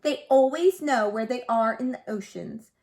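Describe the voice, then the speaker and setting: Woman reading as a polite, animated male teacher. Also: on the high side, normal speed, vocal fry. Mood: correcting. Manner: polite, instructive. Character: polite, firm male teacher correcting a child by letter. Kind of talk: picture-book read-aloud.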